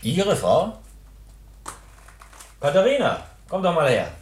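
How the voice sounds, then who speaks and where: An actor in a 1960s German radio play playing a polite, awkwardly apologetic police sub-inspector. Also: male voice, on the high side, wildly swooping pitch, takes it slowly, full character voice. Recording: roomy, echoing 1960s radio recording.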